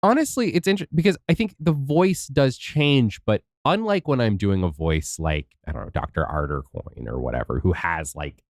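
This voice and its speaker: A voice actor chatting casually on a podcast Q&A, a little congested from a cold, rambling with restarts. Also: male voice, on the low side, animated, normal speed, hardly any pauses, no room sound, clean studio sound.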